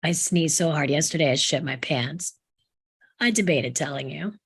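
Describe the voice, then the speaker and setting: A woman plays a crude, bossy boy in his early teens at a screenplay read. The voice is low and husky, and the delivery is blunt and pushy. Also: expressive, normal speed, full character voice. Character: bossy, crude young teenage boy amateur filmmaker.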